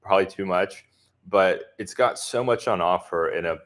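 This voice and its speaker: A man from the southern US answering viewers' comments in a relaxed livestream chat, speaking with a deliberately neutral accent and many filler words. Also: low voice. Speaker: expat vlogger answering live chat. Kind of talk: casual livestream Q&A.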